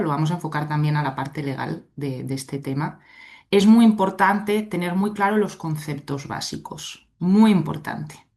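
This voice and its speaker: A woman from Spain speaks with a Spanish accent in a low, grounded voice. She gives an online conference presentation through a computer mic on a video call, explaining things in a friendly, chatty way. She keeps checking in with her listeners as she goes. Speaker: invited speaker, legal expert.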